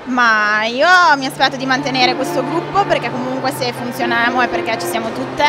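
A woman's voice: on the high side, steady tone, fairly quick. A young woman dancer giving an interview in a large sports hall, speaking modestly and earnestly in long chained clauses into a handheld mic. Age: young adult. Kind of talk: post-event interview. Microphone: handheld mic held by interviewer. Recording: music playing loud behind.

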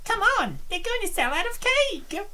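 A high, airy, dainty vampire girl of noble manner, as a comic dubber puts on, sweetly eager and exclaiming. The pitch is lifted and lively, in a cute comic dub style. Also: normal speed, exaggerated voice.

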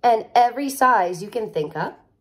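A woman in her thirties reading a children's picture book aloud like a teacher, expressive, with chatty asides straight to young listeners. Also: a little high, moderate pace. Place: living room at home, indoors.

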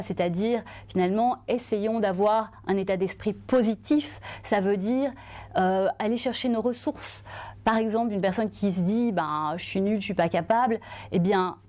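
A woman in her forties from Belgium, a journalist and author, telling her own story to camera in an earnest, expressive way. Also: medium pitch. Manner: earnest, expressive, lively hand gestures. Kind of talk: testimonial interview to camera.

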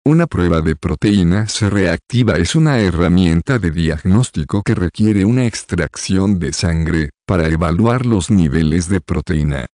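A low-voiced man narrates a medical explainer from a script. His tone is mellow and soft-edged, read evenly and without hurry. The close recording sounds dry, with no room around it. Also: lively intonation, measured pace, hardly any pauses, no echo.

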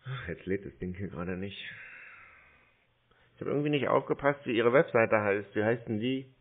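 A man on a phone call, relaxed and playfully stalling, slowly spelling things out to a caller. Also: fairly low pitch. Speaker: scam-baiting call recipient. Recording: phone-line audio.

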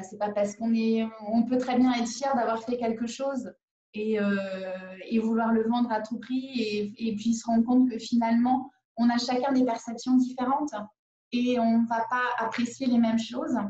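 A woman in her forties speaks over a webcam from her living room. She pauses often and restarts her sentences. Her voice is dark and mellow and a little high, and the call audio sounds dull and roomy. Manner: hesitant, many fillers and restarts. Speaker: independent perfumer. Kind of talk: conference video call interview. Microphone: laptop webcam on a video call.

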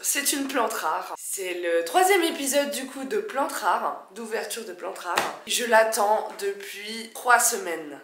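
A young woman in her twenties with a stuffy nose from a cold, chatting excitedly and poking fun at herself while she unboxes a plant in her living room. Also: medium pitch, echoey room, background noise. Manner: chatty, excitable, self-mocking. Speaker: plant vlogger. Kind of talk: casual unboxing vlog.

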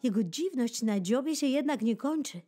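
A woman narrating a children's nature book in an expressive audiobook style, with playful, dramatic pauses and emphasis. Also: sits high. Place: studio. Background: keyboard music.

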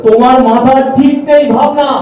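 A man acting a high-voiced, earnest young man. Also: steady tone, normal speed, warm tone, slight background noise. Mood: pained insistence. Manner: earnest, protesting, emotional. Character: loyal, hurt young man, best friend and rejected suitor. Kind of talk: Bengali audio drama acting. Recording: heavily echoing, distant, music underneath.

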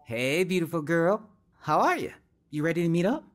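A man's voice: a little high, big pitch swings, moderate pace, soft background music. An actor playing a sweet, earnest young churchgoing man in an audio drama, openly emotional. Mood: eager, affectionate.

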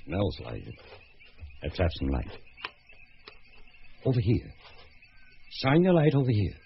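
An actor playing a covetous American entrepreneur and collector in a radio drama, brash and insistent, with an American accent. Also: male voice, fairly low pitch, wildly swooping pitch, measured pace, heavily acted. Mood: wry. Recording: slightly noisy, muffled radio recording.

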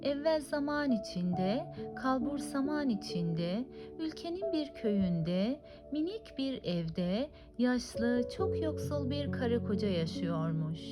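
Woman, high voice, lively swooping intonation, children's fairy tale narration, scripted reading, music underneath. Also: takes it slowly, few pauses. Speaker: storyteller. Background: clearly audible music.

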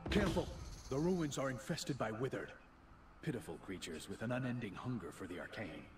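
An actor playing a stern night elf druid mentor in a fantasy video game, grave and formal, through an echoing magical effect. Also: male voice, mid-range voice, animated, a little fast, recorded from a distance, music in the background. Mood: urgent warning. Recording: echoey, noisy game audio behind. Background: game ambience.

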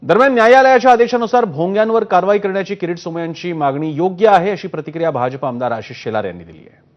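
A man's voice: sits high, expressive, quick pace. A man working as a news anchor, reading scripted news narration. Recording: muffled broadcast audio with music bed. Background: news music.

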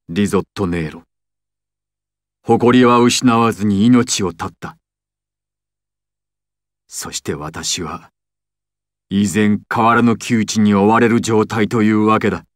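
A man's voice: lowish voice, slow, pauses often, dry recording. Imperious male mafia boss villain, commanding, secretive and theatrical, anime game style, dry recording. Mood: tense, secretive. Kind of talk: anime and video game voice acting.

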